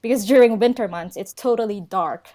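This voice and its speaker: Fields:. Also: female voice, medium pitch, fairly quick, crowd noise. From Philippines. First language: Filipino. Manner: laughs often, friendly and chatty, mixes in Norwegian words. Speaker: guest, local travel expert. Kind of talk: casual interview chat.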